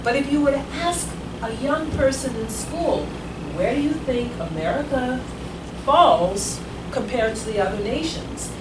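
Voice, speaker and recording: A woman's voice: high-pitched, expressive, a little slow. A grandmother in her sixties telling a rambling personal story at a community meeting, growing emphatic as she goes. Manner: rambling, grows emphatic and forceful. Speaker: meeting attendee. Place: meeting room. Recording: echoey room, far from the mic, loud noise.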